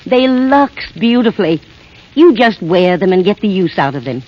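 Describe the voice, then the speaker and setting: Muffled vintage radio ad: kindly middle-aged mom, lively intonation, mid pitch. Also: female voice, normal speed. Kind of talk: 1930s radio commercial skit acting. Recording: muffled 1930s radio recording.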